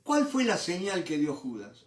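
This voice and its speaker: A man's voice: high voice, huge pitch swings, a little slow, echoey room, far from the mic. An older man from Argentina with an Argentine Spanish accent, teaching a Bible study in sermon style. He often asks rhetorical questions and keeps repeating "¿no es cierto?".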